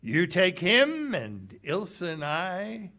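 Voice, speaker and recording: A man acts out a hard-boiled, scheming cafe owner in a 1940s radio play. He is brash and sardonic, with a sharp, forceful voice. His delivery is slow-paced and very animated in pitch. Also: heavily acted. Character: cynical, streetwise American male cafe owner, bluffing and scheming. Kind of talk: 1940s radio theater acting.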